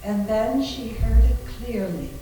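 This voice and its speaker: A woman's voice: fairly high pitch, measured pace, dark tone. A woman narrating a scripted story dramatically as part of a readers theater. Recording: very echoey room, distant mic, faint noise.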